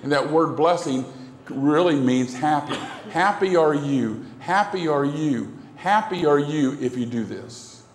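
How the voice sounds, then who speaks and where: Gravelly middle-aged man preaching in an echoey hall. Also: fairly high pitch, unhurried, slight background noise. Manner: gestures broadly, earnest preaching with repeated phrases. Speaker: pastor. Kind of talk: sermon. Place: church sanctuary, indoors. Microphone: pulpit microphone. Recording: echoey hall from a far camera.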